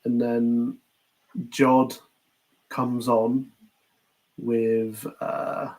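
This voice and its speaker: A man's voice: medium pitch, little pitch movement, very slow, darkish tone, rough recording. A man with a British accent co-hosting a podcast, casually recapping a series and acting out bits of dialogue as he goes. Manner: chatty, acts out quoted lines in passing. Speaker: podcast co-host.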